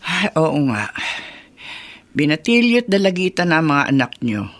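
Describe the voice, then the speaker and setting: A woman's voice: deep voice, very animated, normal speed. An actress playing a caring, probing older mother and grandmother in a radio drama, with a Filipino accent. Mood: concerned, probing.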